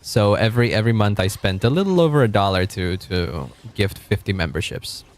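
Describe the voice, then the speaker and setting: A man from Argentina streaming casually, rambling, correcting himself and chuckling as he reads chat, with a deep voice. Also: animated, a little fast.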